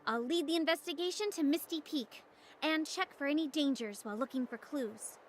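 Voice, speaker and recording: A woman voicing a serious, dutiful townswoman in a video game, explaining things gravely and in measured phrases. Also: high-pitched, a little hiss or hum, natural voice. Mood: resolute. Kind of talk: video game dialogue acting.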